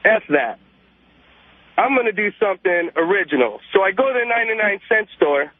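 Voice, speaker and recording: A man's voice: sits high, expressive, brisk, no room sound. A man calling a radio show, telling a story in a casual, slangy, bragging way. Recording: muffled phone call to radio.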